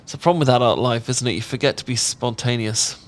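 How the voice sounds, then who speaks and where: A British man playing a shy, tender roommate in a romantic ASMR audio roleplay, speaking in a gentle, halting, earnest way. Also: medium pitch, steady tone, lightly acted. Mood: playful, wistful. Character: shy, tender male roommate confessing love.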